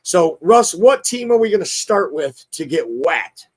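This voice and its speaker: Hoarse, chatty man hosting a sports show. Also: a little high, measured pace. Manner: chatty, excitable, restarts often. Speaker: show host. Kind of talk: casual sports talk show.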